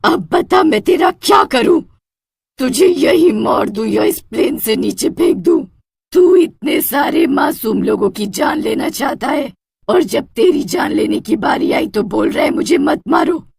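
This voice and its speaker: A woman's voice: sits high, animated, measured pace, gravelly, a little mellow, dry recording, studio quality, voice pushed up, exaggerated voice. A woman voicing an angry, protective witch in a cartoon horror story, with a threatening, dramatic and commanding manner. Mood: furious, threatening. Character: angry, protective witch, a dead air hostess.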